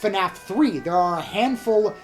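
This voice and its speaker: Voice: medium pitch, animated, measured pace. Emphatic, rambling podcast co-host over music. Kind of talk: casual excited fan discussion.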